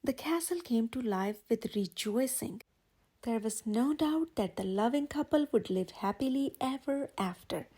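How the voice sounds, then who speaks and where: A woman with a breathy voice reading a children's story aloud in an expressive way. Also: on the high side, measured pace. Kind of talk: expressive scripted read-aloud narration for children.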